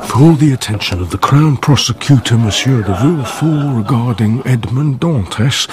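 Actor as volatile, lovesick young fisherman, animated. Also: mid-range voice, moderate pace, close to an everyday voice. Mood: intent. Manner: impassioned, volatile. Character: jealous, lovesick, hot-headed young Catalan fisherman. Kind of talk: radio drama acting. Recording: tavern chatter behind.

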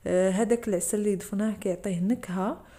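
A woman's voice: medium pitch, steady tone, racing, mellow. A woman with a Moroccan Arabic accent, narrating a cooking tutorial over her video and explaining each step to the viewers. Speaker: cooking channel host.

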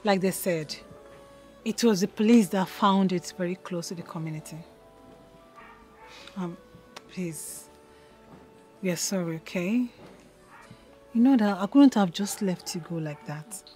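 An actress playing an apologetic, soothing friend in a screen drama, soft-spoken and placating. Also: female voice, lowish voice, unhurried, pauses often, faint music behind, close to an everyday voice.

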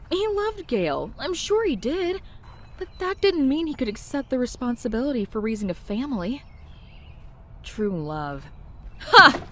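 Woman doing a wry, wistful young narrator with a lively, animated pitch. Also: a little hiss or hum, heavily acted. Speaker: narrator of her own past. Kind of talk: comic dub narration.

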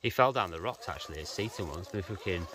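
A man's voice: sits low, animated, fast, a crowd in the background. A middle-aged man, chatting outdoors and telling stories, calling his friend mate. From England. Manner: chatty storyteller, calls people mate. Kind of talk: casual chat. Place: outdoors by rocks.

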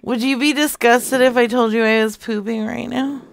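A woman's voice: on the high side, fairly even intonation, moderate pace, gravelly. A woman making a casual prank phone call, bantering in a teasing, provocative and crude manner. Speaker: prank caller.

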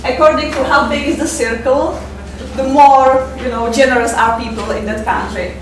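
A woman in her thirties with a Czech accent gives a fundraising presentation, halting with many fillers, recorded from afar in a seminar room. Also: high voice, steady tone, far from the mic, slight background noise. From Czech Republic. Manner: hesitant, many fillers, halting non-native English. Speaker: fundraiser giving a conference talk. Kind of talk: presentation talk. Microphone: far camera mic. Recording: echoey room, picked up from afar.

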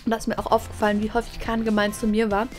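A woman's voice: mid-range voice, completely flat delivery, rapid-fire, faint music behind. A young woman chatting casually to camera as a vlog host, thinking aloud in a colloquial way. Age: young adult. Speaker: video channel host. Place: small furnished studio room, indoors. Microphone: camera at close range.

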